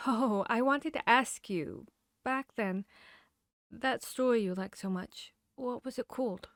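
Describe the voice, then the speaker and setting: A woman playing a confiding, bookish vampire hunter in a fantasy ASMR roleplay, talking intimately with pauses. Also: on the high side, no echo, studio quality. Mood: curious, gentle. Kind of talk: ASMR roleplay audio drama.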